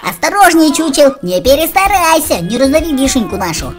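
High, swooping childlike woman. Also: fairly quick. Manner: childlike, animated, exclamatory. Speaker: let's play co-host. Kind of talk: children's game commentary. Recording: music behind the voice. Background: music.

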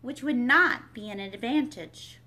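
Woman playing a young storybook girl, high-pitched, curious, polite, easily exasperated. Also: unhurried, pushed and bright, low-quality recording. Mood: eager.

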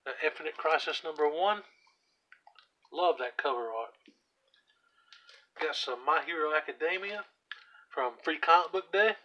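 A hoarse man casually walking through his comic book collection, reading out titles with offhand asides while he sits on the floor at home. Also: on the high side, unhurried, pauses often, bright, forceful tone, lo-fi sound. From US South. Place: indoors at home, seated on the floor by a shelf. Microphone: camera aimed at the shelf, speaker off screen.

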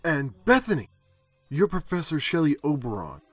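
A man in a film fan dub playing an anxious teenage boy in a hero's body, explaining haltingly. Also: fairly high pitch, huge pitch swings, no echo, soft background music. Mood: earnest, nervous. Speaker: amateur fan-dub voice actor.

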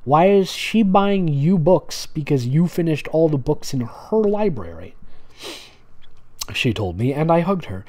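A man's voice: fairly high pitch, big pitch swings, a little slow, dark tone. A man doing a casual comedic read-aloud, breaking off into sarcastic, mocking asides as host. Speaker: host reading and mocking fan fiction.

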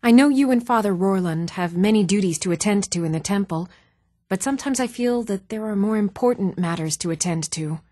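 Woman acting even-toned townswoman, very dry studio sound. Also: mid-range voice, no room sound, close to an everyday voice. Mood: earnest, concerned. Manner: even-toned, measured, clearly enunciated. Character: even-toned adult townswoman of a fantasy realm. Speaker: video game voice actor. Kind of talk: scripted fantasy role-playing game dialogue. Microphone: close, dry studio microphone.

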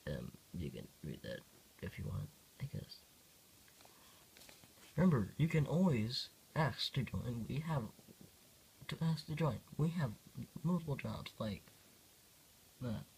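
A male vlogger presents comics he made himself in a casual, halting way. His voice is husky and his pitch moves around a lot. He takes his time and talks himself down informally. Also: sing-song, a little mellow, rough recording. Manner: halting, self-deprecating, informal. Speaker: host reading own homemade comics. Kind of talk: casual story-time vlog. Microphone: handheld phone.

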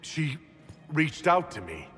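An actor playing a bewildered young noble warrior held prisoner in a video game, halting and earnest. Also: male voice, a little high, very animated, a bit bright, husky, full character voice. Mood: shaken, confused. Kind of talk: dramatic video game acting. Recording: echoing hall, music underneath.

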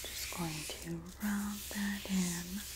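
A woman playing a sassy, supportive Jersey girl in a soft-spoken, whispered ASMR roleplay, with a New Jersey accent and a gossipy, soothing manner. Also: medium pitch, very noisy, lightly acted. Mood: soothing, reassuring. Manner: gossipy, flattering, soothing, drawn-out delivery. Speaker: ASMR performer.

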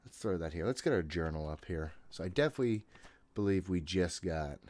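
A man's voice: low-pitched, expressive, fairly quick, a little mellow. A man in his thirties giving casual, jittery and exasperated commentary while streaming a horror game from a room at home. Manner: jittery, exasperated self-talk; frequent swearing. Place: small home room, indoors. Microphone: desk microphone, headset worn.

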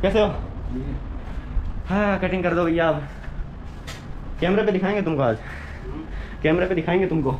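A man's voice: high-pitched, moderate pace, long pauses. A young man from Rajasthan, India, chatting casually to viewers while he walks and films with a camera at arm's length outdoors at night. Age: young adult. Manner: chatty, addresses viewers as bhai. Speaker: vlogger. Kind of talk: casual walking vlog. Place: outdoors on a city street at night. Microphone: action camera held at arm's length. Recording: lo-fi with loud street noise.

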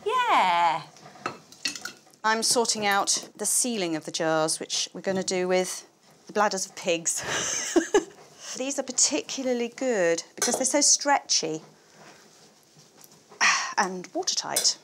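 Woman presenter, mid-pitched, chatty demonstrator, faint room hiss. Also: very animated, slow, pauses often. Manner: chatty, laughing, explains as she works. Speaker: historian presenter. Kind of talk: casual demonstration to camera.

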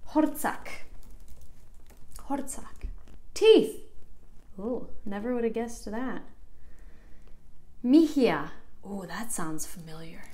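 A young American woman in her twenties, vlogging casually from her living room. She chats in a self-deprecating way, with lots of "like" and laughter. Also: a little high. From United States. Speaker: vlogger learning Basque. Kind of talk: casual chatty vlog. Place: living room at home, indoors.

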